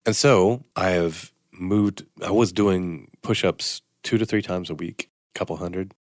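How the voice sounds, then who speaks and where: Deep-voiced surgeon hosting a radio show. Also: male voice, measured pace, no room sound, clean studio sound. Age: older. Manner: rambling, self-deprecating, conversational with restarts. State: sniffling. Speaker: orthopedic surgeon radio host. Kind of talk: casual radio health talk. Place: radio studio.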